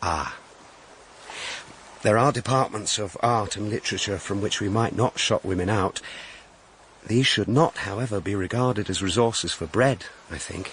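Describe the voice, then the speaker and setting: An actor playing a grave, gentle Victorian clergyman in a radio drama, formal, deliberate and reserved as he courts. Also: lowish voice, slight background noise, natural voice. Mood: gravely sceptical. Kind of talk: period radio drama acting.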